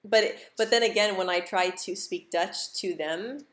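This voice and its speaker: A woman's voice: mid-range voice, normal speed, fairly bright tone. A young American woman who stutters, repeating words and sounds, chatting casually on a live stream and laughing easily. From United States.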